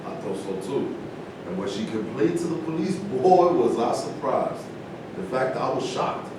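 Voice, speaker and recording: Actor as a blunt ex-athlete, distant and echoey. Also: male voice, lively intonation, normal speed, close to an everyday voice. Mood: rueful. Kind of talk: staged play reading. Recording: distant roomy stage recording, rumbling noise.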